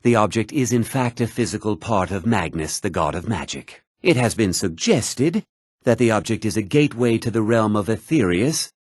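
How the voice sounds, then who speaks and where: An actor playing a learned mage of a magic college in a fantasy video game, speaking in a formal, deliberate, articulate way. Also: male voice, lowish voice, expressive, breathy edge, no room sound, clean studio sound. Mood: formal, lecturing. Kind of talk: scripted fantasy game dialogue.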